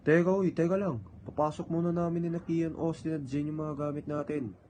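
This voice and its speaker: A man's voice: fairly high pitch, fairly even intonation, brisk, darkish tone, natural voice. A young man playing a reluctant member of a friend group in an amateur radio drama. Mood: casual reluctant. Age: young adult. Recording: dull lo-fi audio, faint noise.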